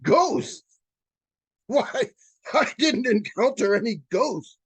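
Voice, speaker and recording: A performer playing an ailing, courteous doctor in old-time radio suspense style, formal and halting, with a weak husky voice. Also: medium pitch, animated, a bit bright, full character voice. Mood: guarded, grave. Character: ailing, courteous doctor shaken after a haunting. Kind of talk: 1940s radio suspense acting.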